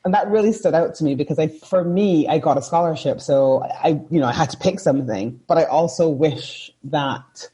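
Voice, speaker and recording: Mellow husky woman from Barbados. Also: low voice, moderate pace, few pauses. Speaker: podcast guest. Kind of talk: casual conversation.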